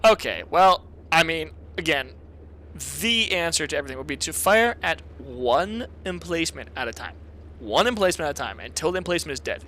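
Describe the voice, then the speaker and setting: Excitable hoarse male game commentator. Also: fairly high pitch, fairly quick, a little hiss or hum. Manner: excitable, laughs often, addresses chat. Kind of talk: casual replay commentary. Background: video game gunfire and artillery.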